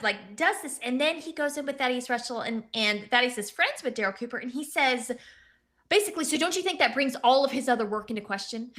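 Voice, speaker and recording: A young woman with a high-pitched voice co-hosting a casual political talk show, chatty and often correcting herself. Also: pushed and bright. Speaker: co-host.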